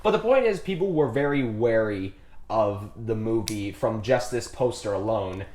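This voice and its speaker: A man co-hosting a casual film discussion, talking in a halting, emphatic and opinionated way. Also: on the low side, expressive, darkish tone, a little hiss or hum. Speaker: podcast co-host.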